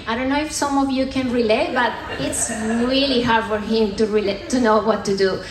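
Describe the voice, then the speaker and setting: A woman with a Colombian accent delivers a playful science talk from a theatre stage, teasing the crowd as she goes. Her voice sits a little high and rings out through a roomy hall, with faint ambience underneath. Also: slight background noise. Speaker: sustainability manager giving a comedy science talk. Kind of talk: stand-up style talk on stage. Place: theatre stage at a comedy festival.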